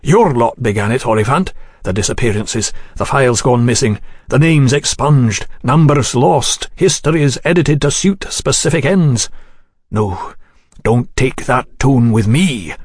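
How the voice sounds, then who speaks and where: A male reader puts on a nervous English gentleman of officialdom for an audiobook. He sounds aggrieved and defensive, with clipped, bitter phrasing, in a dry recording with no room around it. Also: medium pitch, lively intonation, a little slow, husky, no echo. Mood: indignant. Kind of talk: audiobook character dialogue.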